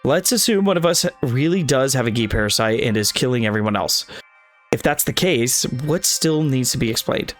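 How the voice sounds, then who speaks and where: A man's voice: medium pitch, expressive, normal speed, a bit bright, faint music behind. A man reading a visual novel's dialogue and narration aloud for a let's play video, sometimes tripping over lines and correcting himself.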